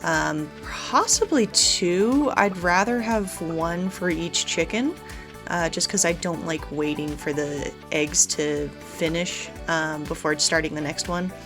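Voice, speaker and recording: Woman, lowish voice, casual let's play commentary, chatty and explanatory, clear game music behind her. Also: slow pace. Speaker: gaming video host. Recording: background music under the voice.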